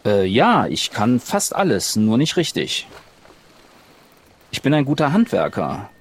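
A man's voice: mid-range voice, wildly swooping pitch, unhurried, a little hiss or hum. A man speaking casually as a guest and player in an improvised role-play podcast.

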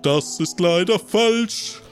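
A chirpy bartender, put on by a man for a role-playing game. The voice is fairly high and takes its time, giggly and polite. Soft music plays behind. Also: slow. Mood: giggly, playful.

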